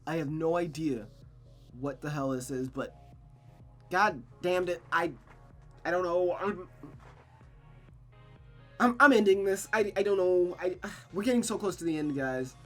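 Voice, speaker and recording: A woman's voice: fairly low pitch, measured pace, long pauses. A woman streaming a visual novel, moving between story narration and casual commentary. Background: music.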